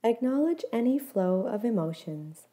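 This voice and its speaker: A woman with a fairly high, very mellow, relaxed voice guides a meditation. She gives each instruction gently and in measured phrases, softening at the ends. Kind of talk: guided body scan meditation.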